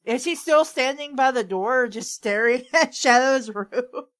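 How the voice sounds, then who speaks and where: A woman's voice: high voice, measured pace, fairly bright tone. A woman hosting a casual group reading and discussion of fan fiction. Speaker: podcast host leading the discussion. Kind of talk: casual chat and read-aloud.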